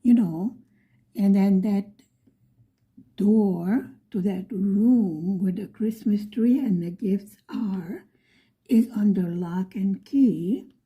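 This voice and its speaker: A middle-aged woman from Buffalo, New York, telling a story in a soft, often whispery voice. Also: medium pitch, takes it slowly. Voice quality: frequently whispery, breathy delivery. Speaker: vlogger.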